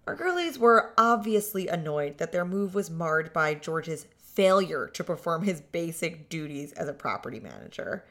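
A woman's voice: low voice, big pitch swings, normal speed, hardly any pauses, a bit bright. Sardonic woman narrating a podcast. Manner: dry, sardonic.

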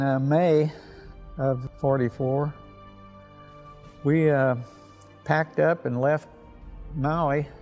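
A man's voice: mid-range voice, a little mellow. An elderly man, a war veteran, telling his memories in plain, rambling, unscripted oral history. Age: 80s or older. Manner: rambling, plain-spoken storytelling.

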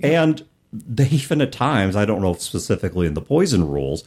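A man co-hosting a podcast in a casual, explanatory way, animated and expressive as he talks and reads aloud. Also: lowish voice, fairly quick, breathy edge. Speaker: podcast co-host. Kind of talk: casual chat about tabletop gaming, reading passages aloud.

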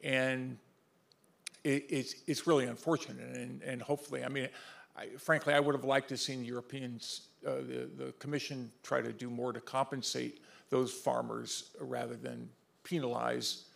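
A man's voice: medium pitch, level tone, moderate pace, vocal fry, a bit bright. A man answering a question as a panelist at a policy seminar, talking loosely with many fillers and restarts.

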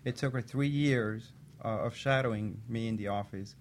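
A man who works as a physician assistant, a guest on a talk show, telling a personal story in an earnest, steady way. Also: mid-range voice, normal speed, slight background noise.

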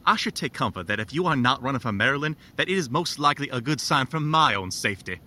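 An actor playing a tense, impatient, accusing male conspirator in a period audio drama, sharp and confrontational. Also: mid-range voice, expressive, quick pace. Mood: scornful.

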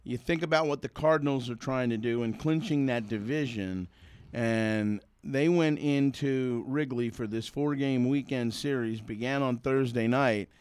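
A man's voice: mid-range voice, a little slow, a little mellow, clear, resonant tone, no room sound. An American man talking casually about sports as a co-host.

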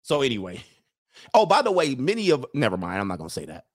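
A man in a loose, talky monologue, his pitch at an ordinary middle height. He keeps jumping into teasing impressions of other people, then breaks off laughing at his own jokes. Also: huge pitch swings, fast. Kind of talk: casual commentary monologue.

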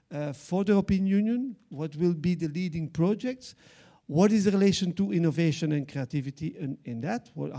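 Middle-aged Flemish-accented man, arts director at a panel; mellow, gravelly voice, halting delivery. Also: on the high side. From Belgium. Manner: halting, many fillers and restarts. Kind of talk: panel discussion remarks.

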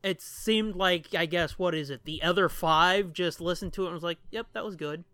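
A man's voice: high-pitched, normal speed, pushed and bright, clear, resonant tone, dry recording, clean studio sound. A man co-hosting a podcast in casual, rambling and casually profane chat. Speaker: podcast co-host.

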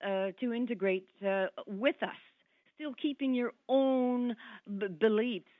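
A woman calling in to a radio show, speaking haltingly with repeated words. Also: a little high, no room sound. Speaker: caller. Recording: phone line.

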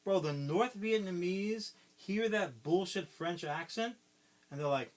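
A man with a voice pitched a touch higher than most, chatting loosely as a podcast co-host. He rambles through a story, swears freely and leans on fillers and restarts. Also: animated, unhurried. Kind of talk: casual pitch-style movie retelling.

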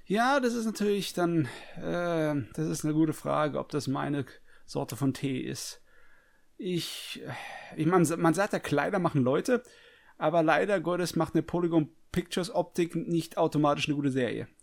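Male co-host, gravelly and a touch high, dry jokey banter. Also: measured pace. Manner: joking, wry, laughs between remarks. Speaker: podcast co-host. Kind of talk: casual podcast chat.

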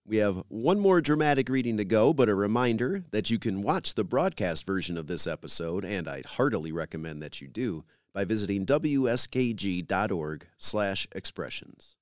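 A man hosting a podcast, reading scripted links in a measured, announcer-like way. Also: fairly low pitch, lively intonation, no room sound, studio quality. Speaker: podcast host. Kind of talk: scripted podcast host narration.

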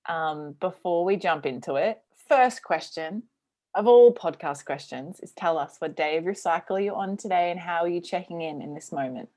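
A woman's voice: lowish voice, normal speed, darkish tone. A woman hosting a casual podcast interview as a coach, chatty and enthusiastic. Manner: enthusiastic, chatty, laughs often.

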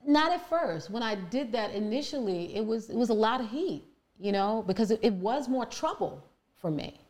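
A woman with a deep voice telling her personal story candidly and emphatically, with many fillers and restarts. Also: sing-song, normal speed, darkish tone. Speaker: guest.

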